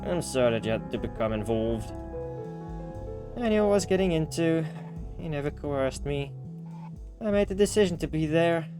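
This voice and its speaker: A man's voice: on the high side, animated, moderate pace, pauses often, music in the background, lightly acted. A man voicing a resolute woman bartender from a visual novel, earnest and plain-spoken. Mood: resolute, apologetic. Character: resolute young woman bartender, frank and self-blaming.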